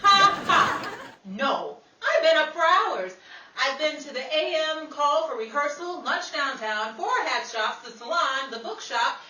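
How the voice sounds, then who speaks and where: A woman playing an excitable, quick-to-retort showgirl in a 1930s radio comedy. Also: high-pitched, heavily acted. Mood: defensive, wry. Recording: noisy, echoey live hall, far mic.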